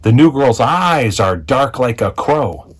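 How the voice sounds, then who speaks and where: A man voicing a taunting young schoolboy who leads the pack, calling out in loud jeers in a storybook reading. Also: medium pitch, big pitch swings, slow, husky, pitched above the performer's own voice, exaggerated voice. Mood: jeering. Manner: loud, jeering shouts.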